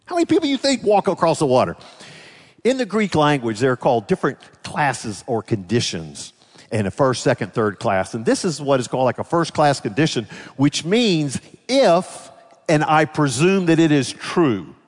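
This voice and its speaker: Animated male preacher in a reverberant hall. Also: on the high side, moderate pace. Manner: conversational, rhetorical questions to the congregation. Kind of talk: sermon.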